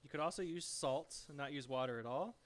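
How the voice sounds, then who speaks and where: A soft-spoken man chatting casually with viewers as he cooks and cleans up in his home kitchen, reading chat messages aloud. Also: on the high side, brisk. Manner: relaxed, chatty, talks to viewers while working. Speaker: streaming home cook.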